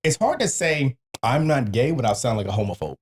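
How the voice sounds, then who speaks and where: A man's voice: medium pitch, sing-song, brisk. A man chatting casually on a podcast, joking in slangy, profane talk. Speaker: podcast speaker.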